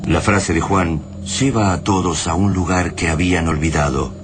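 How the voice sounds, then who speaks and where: An Argentine man narrating a radio drama in a deep, solemn, literary manner. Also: moderate pace, close to an everyday voice. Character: grave narrator of a post-invasion tale. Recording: noisy radio drama soundtrack.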